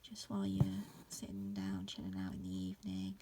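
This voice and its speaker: A woman in her thirties with a croaky voice from hay fever, casually talking through an embroidery tutorial at home, full of ums and restarts. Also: mid-range voice, normal speed, heavy background noise. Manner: relaxed, many fillers and restarts. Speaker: embroidery teacher. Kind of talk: casual stitch-along tutorial. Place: upstairs room at home.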